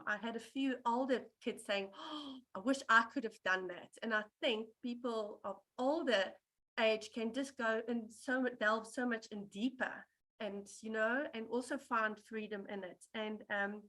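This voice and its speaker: A woman speaking at a book launch. Her voice sits a little high and rings bright. She reads from notes in a chatty, scattered way, with plenty of ums. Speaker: book designer and translator. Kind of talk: reading prepared remarks at a live event.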